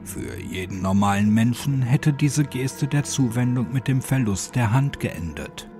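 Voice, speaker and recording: A man's voice: medium pitch, lively intonation, a little slow, warm tone. A man reading a scripted horror audiobook as its narrator. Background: music.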